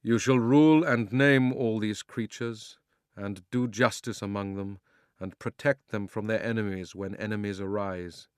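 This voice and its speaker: A majestic talking lion king from a storybook speaks slowly and gravely, as a man reads him aloud. His words are low and commanding, and the recording is dry and close. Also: fairly even intonation, dry recording, close to an everyday voice. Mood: solemn. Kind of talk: storybook reading.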